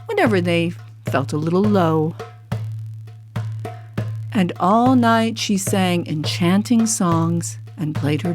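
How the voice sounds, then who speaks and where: Unhurried woman storyteller over soft music. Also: mid-range voice. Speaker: storyteller and voice artist. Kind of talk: scripted storybook narration for all ages.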